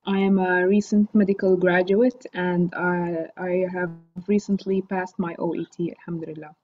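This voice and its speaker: Female guest, native Arabic speaker, polite measured answers, muffled lo-fi call audio. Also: fairly low pitch, level tone. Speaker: guest, recent medical graduate. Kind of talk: answering interview questions.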